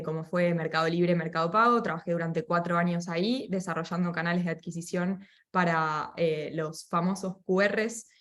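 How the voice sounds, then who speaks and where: An Argentine woman with a somewhat low voice talks in a friendly, chatty way as a guest expert. She speaks casually over a video call, with a few fillers. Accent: Argentine Spanish. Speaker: guest expert, product manager. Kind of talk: casual webinar Q&A.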